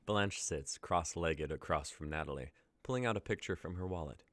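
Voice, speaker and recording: A man's voice: low-pitched, normal speed, fairly bright tone, no room sound. A young man reading scripted story narration and stage directions as the narrator of a dramatic reading. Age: young adult.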